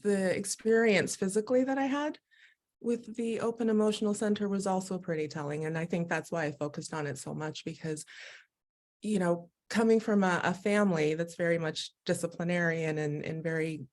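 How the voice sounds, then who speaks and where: A woman speaking as a guest on a live video call, telling her own story in an animated, expressive way and often laughing as she talks. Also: lowish voice, moderate pace. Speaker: guest, Human Design educator. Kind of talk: candid personal conversation.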